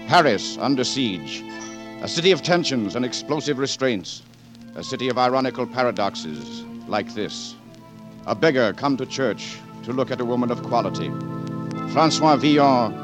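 A man's voice: medium pitch, lively intonation, moderate pace. A man giving solemn, grandiose scripted narration in a 1940s radio drama style. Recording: old radio recording, music behind.

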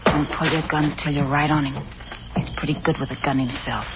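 Man as high-pitched ruthless gangster, vintage radio. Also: little pitch movement, brisk, close to an everyday voice. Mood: menacing. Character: ruthless bossy male gangster pressing his partner. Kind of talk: 1940s radio acting. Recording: muffled, noisy old-time radio recording.

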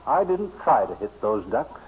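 An old, faintly noisy radio recording carries a male actor as a sheepish American husband. He is a folksy, bumbling sort who talks haltingly, his pitch jumping about in 1940s comedy fashion. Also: very animated, dull audio, lightly acted. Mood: sheepish confessing. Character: folksy, sheepish married man, bumbling amateur duck hunter.

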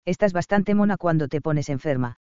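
A man's voice: a little high, expressive, moderate pace, darkish tone, smooth, dry recording, close to an everyday voice. A man voicing a bossy, teasing young male doctor with dry, smug humor, read in a dull, dry recording. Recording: slightly dull dry audio.

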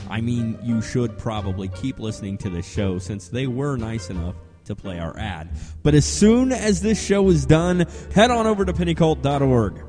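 A man with a medium-pitched voice reads a promo for a podcast network. He sounds breezy and colloquial, as if talking to friends, and music plays clearly behind him. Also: darkish tone. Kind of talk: casual conversational promo read. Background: music bed.

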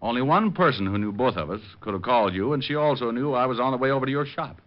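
An actor playing a suave, quick-witted male private detective in a 1950s radio drama, cool and sardonic. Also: mid-range voice, lightly acted. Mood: calm, knowing. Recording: dull old radio broadcast.

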